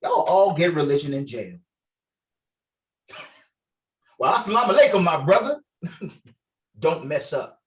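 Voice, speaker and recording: A man's voice: a little high, very animated, moderate pace. A man playing a stern, sneering parole officer in a script table read, threatening and mocking, with clipped orders. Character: stern, menacing, sneering male parole officer on a video call. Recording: distant mic on online call.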